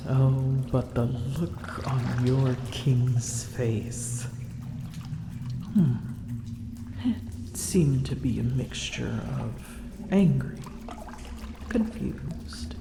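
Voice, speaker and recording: A woman voicing a smug, slow-spoken dragon. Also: rumbling low, very animated, gravelly, reverberant, noisy background, heavily acted. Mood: teasing. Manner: haughty, teasing, slow and deliberate. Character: proud, smug female dragon in human form. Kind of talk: ASMR roleplay.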